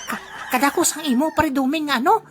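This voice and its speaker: A woman voicing an excitable, nosy male buddy gossiping in a comic audio drama, on a noisy recording. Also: high-pitched, fairly quick, natural voice. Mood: nosy, excited. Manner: exclamatory, prying. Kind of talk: comedic audio drama dialogue.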